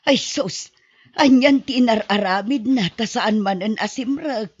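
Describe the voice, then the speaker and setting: A woman's voice: on the high side, measured pace, slightly rough, no room sound. A woman with a Filipino accent acting a worried, scolding family woman in an audio drama. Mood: dismayed. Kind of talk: amateur Ilocano radio-style drama acting. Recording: dull, roomless lo-fi audio.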